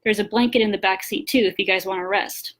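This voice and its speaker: A woman's voice: mid-range voice, steady tone, fast talker, natural voice. Casting: jaded undercover shapeshifter for an audiobook, read by a woman, impatient, blunt, used to giving orders. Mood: reassuring, matter-of-fact. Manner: blunt, bored, commanding.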